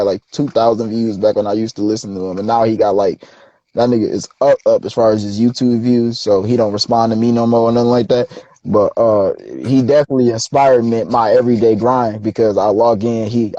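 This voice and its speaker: A young man, a rapper being interviewed, telling stories in a relaxed, slangy way. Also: lowish voice, no room sound, muffled recording. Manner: laid-back, slangy storytelling. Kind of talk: casual interview chat.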